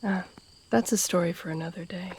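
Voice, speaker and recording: A woman playing a stern, loyal, guarded masked assassin in a fantasy audio roleplay, speaking gravely and formally, often in a hushed breath. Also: fairly low pitch, a little fast, natural voice. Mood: grave, sincere. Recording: noisy outdoor night ambience with crickets. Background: crickets and night insects.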